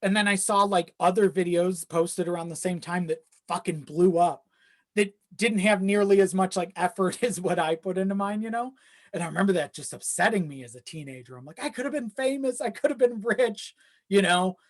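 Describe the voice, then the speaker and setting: A man's voice: high voice, fairly quick. A man chatting casually as a podcast guest, rambling along and acting out his own asides.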